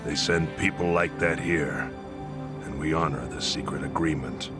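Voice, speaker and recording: A man's voice: a bass voice, moderate pace, over loud music. A man playing a plain-spoken underworld insider in a video game cutscene, matter-of-fact and colloquial. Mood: explaining. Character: plain-spoken male underworld insider explaining secret rules.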